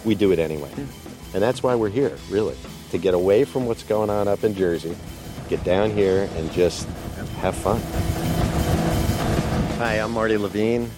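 An older man past sixty talks casually to an interviewer. His voice is low and deep, with music clearly playing behind it. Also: moderate pace, pauses often. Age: older, sixties to seventies. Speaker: water skier being interviewed.

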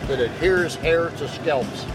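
A man's voice: a little high, takes it slowly, very noisy. A man of seventy-eight talking casually and bantering as a water skier in an interview.

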